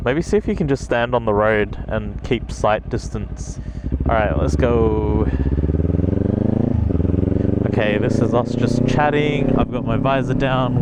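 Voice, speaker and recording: A man giving casual, chatty vlog commentary as a motorcycle gear reviewer, speaking from inside a helmet out on the road. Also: mid-range voice. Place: outdoors on a motorcycle on public roads. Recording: loud engine and wind noise.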